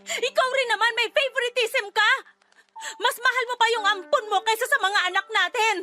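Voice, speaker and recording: A bereaved mother in a radio drama, extremely high and sharp in voice. She sounds tearful, wounded and indignant, over faint music. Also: steady tone, moderate pace. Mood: defensive, accusing. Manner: tearful, shrill, emotional. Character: grieving, defensive wife and mother, wounded and indignant. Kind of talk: Filipino radio drama acting.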